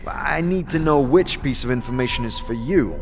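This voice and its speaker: A man plays a brusque party leader in a fantasy audio drama. He speaks tersely and casually in a mid-pitched voice, with music behind him. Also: moderate pace, in a large hall, close to an everyday voice. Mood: guarded. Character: axe-wielding male adventurer, the party's leader, brusque.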